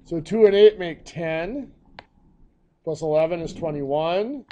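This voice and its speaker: A hoarse, coughing man teaching a math lesson, walking through each step patiently. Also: fairly high pitch, slow, mellow, lo-fi sound.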